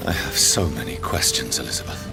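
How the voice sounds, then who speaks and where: A man acting an educated, brooding gentleman vampire doctor in a video game, speaking in a grave, formal, probing manner. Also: very deep voice, fairly quick, creaky. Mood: awed curiosity. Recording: music and rain behind the voice.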